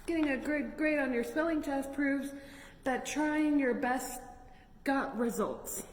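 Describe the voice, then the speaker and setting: In a roomy, echoing space, a woman plays a kind young garden creature from a storybook. The little friend offers encouragement slowly, in a slightly high voice. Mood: reassuring. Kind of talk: children's picture-book read-aloud. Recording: echoey, reverberant room with noticeable noise.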